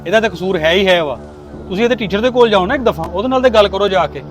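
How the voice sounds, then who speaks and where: Genial Pakistani neighbour played by a man, Punjabi accent, familiar chatty delivery, fairly high pitch, moral drama. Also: quick pace, close to an everyday voice. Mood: placating. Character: genial neighbour, proud father of successful son. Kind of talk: acted moral-story drama.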